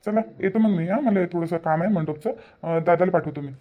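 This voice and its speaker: A man's voice: sits high, fairly quick, narrow, tinny audio, lightly acted. A man voicing a polite, matter-of-fact younger brother in a cartoon family drama, with a Varhadi Marathi accent. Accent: Varhadi (Vidarbha) Marathi. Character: helpful, polite younger brother running errands.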